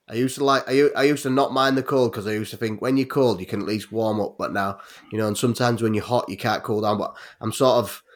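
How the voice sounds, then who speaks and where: An English man in his late thirties chatting casually on a podcast, rambling and swearing a lot, laughing often. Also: lowish voice. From England. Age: 30s. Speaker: podcast host. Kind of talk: casual chat.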